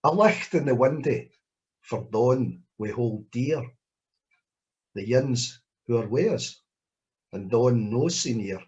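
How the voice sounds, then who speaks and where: A man's voice: medium pitch, slow pace, pauses often. Scottish man poet on a dull call line. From Scotland. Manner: relaxed, self-deprecating, hesitant with many restarts. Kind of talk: spoken word reading and Q&A. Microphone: video call. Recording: lo-fi video call audio.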